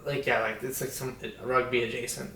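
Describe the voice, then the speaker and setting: A man's voice: medium pitch, level tone, a little fast, gravelly, a bit bright. A man in his twenties from India, Australia and New York, chatting casually and slangily as he reflects, often repeating himself. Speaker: podcast guest. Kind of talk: casual chat, personal reflection. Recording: echoey room, distant from the mic.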